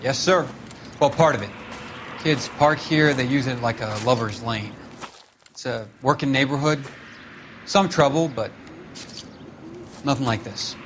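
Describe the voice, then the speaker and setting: A man's voice: medium pitch, measured pace, pauses often, a bit bright, a little hiss or hum, natural voice. A man playing a deferential uniformed patrolman in a video game, respectful and informal. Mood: dutiful. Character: deferential male uniformed beat cop, plain-spoken. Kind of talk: cinematic video game acting.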